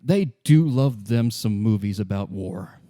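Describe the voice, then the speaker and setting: A man's voice: on the low side, lively intonation, unhurried, mellow, no echo, studio quality. A man playing a cynical, world-weary woman who smokes, in casual, evasive improvised dialogue for a tabletop roleplay. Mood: dry, sardonic.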